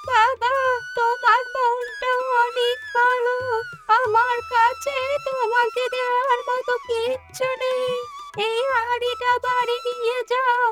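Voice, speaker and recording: A frail, kindly old village woman in a cartoon, with a very high, thin voice. She speaks slowly and gently, pleading softly over background music. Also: completely flat delivery. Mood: gentle.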